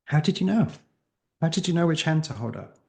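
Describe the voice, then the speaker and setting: A man with a creaky voice speaking as an interview guest and coach, explaining emphatically with rhetorical questions and acted-out examples. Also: a little high, very animated, a little fast, darkish tone. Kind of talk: animated interview conversation, explaining.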